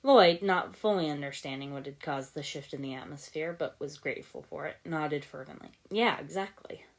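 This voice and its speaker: Low-pitched woman reading a story. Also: fairly quick, creaky. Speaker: amateur audiobook reader. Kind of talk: scripted story narration.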